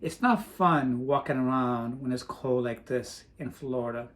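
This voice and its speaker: A man's voice: medium pitch, a little slow. Rambling man in a casual vlog. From Portugal. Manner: rambling, with restarts. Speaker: vlogger. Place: home in Florida.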